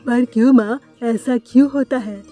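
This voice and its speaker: A woman plays a caring young son bird in a children's cartoon. The tone is soft-edged and mellow, concerned and reassuring, with music underneath. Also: a little high, steady tone, normal speed, natural voice. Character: caring young male bird, a son comforting his mother.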